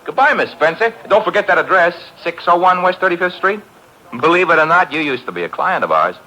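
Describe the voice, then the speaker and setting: An actor playing a breezy, sardonic young detective's assistant, trading flippant teasing lines in 1950s radio style. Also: male voice, high-pitched, expressive, a little fast. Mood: brisk, sardonic. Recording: vintage 1950s radio broadcast, faint hiss.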